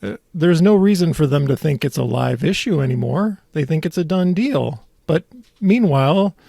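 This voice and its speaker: Male podcast host, husky and dry-recorded, giving casual, wry commentary. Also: on the high side, expressive, moderate pace, mellow, no room sound.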